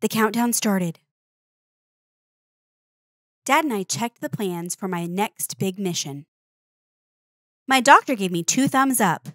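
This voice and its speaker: A woman reading a children's picture book aloud with expressive, playful emphasis. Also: medium pitch, takes it slowly, long pauses, no echo, clean studio sound. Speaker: storybook reader.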